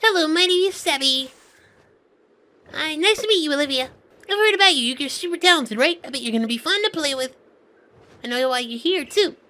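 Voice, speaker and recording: A woman's voice: high voice, animated, fairly quick, long pauses, pushed and bright. A woman voicing a playful, cheeky little girl with an eager, chirpy manner.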